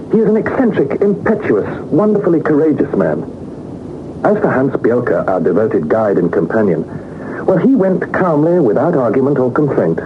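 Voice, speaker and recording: An actor as Harry Lawson, muffled British adventurer. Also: male voice, mid-range voice, natural voice. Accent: British English. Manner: dramatic, literary storytelling with rhetorical flourishes. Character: Harry Lawson, earnest young Victorian adventurer recounting perilous journey. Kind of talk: 1960s British radio drama narration and acting. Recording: muffled, noisy 1960s radio recording.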